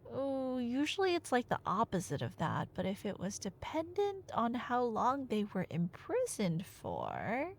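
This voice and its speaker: A woman's voice: a little high, no echo. A woman playing a nerdy, chatty, playfully bossy girlfriend who rambles through myths in a conversational ASMR roleplay. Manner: rambling, animated, tangent-prone, mock-indignant.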